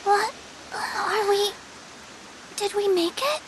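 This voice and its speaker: A woman voicing a gentle, sincere young girl hero in a game, with an earnest manner. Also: extremely high pitch, takes it slowly, slight background noise. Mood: wondering. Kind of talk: English game dub cutscene.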